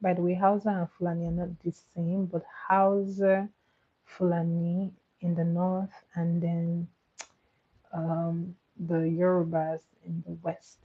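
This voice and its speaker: Brief: Nigerian woman, Igbo first language, lowish voice, hosts a chatty live stream, tinny phone-line sound. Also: a little slow. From eastern Nigeria. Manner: chatty and animated, with filler words and frequent laughs. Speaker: livestream host. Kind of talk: casual live chat with viewers. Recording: thin phone-like audio.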